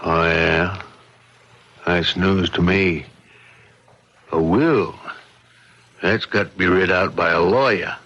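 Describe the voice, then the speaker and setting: An actor playing a gruff, suspicious older father in an old radio drama, blunt and rough in grammar. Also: sits low, slow, long pauses, fairly bright tone, dull audio, full character voice. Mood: surly, hostile. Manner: blunt, suspicious, uneducated speech. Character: gruff, surly, tight-fisted older father.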